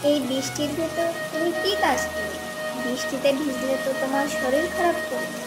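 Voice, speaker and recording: A woman's voice: sits high, normal speed, natural voice. A woman playing a caring village wife in a moral-story cartoon, with rain sounds behind her. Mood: concerned. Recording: echoey, music and rain underneath.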